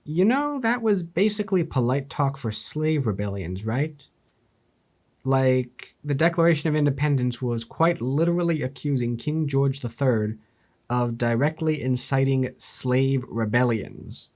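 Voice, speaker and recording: A man's voice: mid-range voice, lively intonation, unhurried, a little mellow. A man from New Jersey reading an old political speech aloud and breaking into sarcastic, profane commentary. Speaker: YouTube history commentator.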